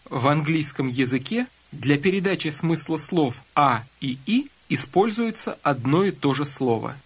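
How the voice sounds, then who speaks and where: A man guides listeners through a scripted language lesson in a calm, instructive tone. His voice is a little husky and slightly higher than most men's, with lively rises and falls. It sounds like a clean studio voice-over. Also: a little slow.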